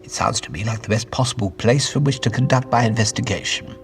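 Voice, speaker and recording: A man playing a courteous, inquisitive gentleman scientist and investigator, urbane and formal, in an audio drama. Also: medium pitch, fast, faint music behind, natural voice. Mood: courteous, assured.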